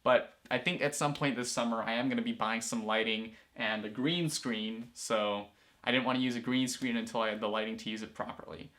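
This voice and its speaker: Bright-voiced teenage boy vlogging. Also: medium pitch, brisk. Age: teens. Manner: rambling, relaxed, many fillers and restarts. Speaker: student filmmaker and vlogger. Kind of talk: casual talk to camera. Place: small room, indoors, in a corner.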